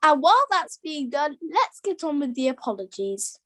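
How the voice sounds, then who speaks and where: A woman's voice: high voice, very animated, moderate pace, fairly bright tone. A young woman acting an impatient, no-nonsense puppet committee member in an amateur puppet show. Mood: brisk, impatient.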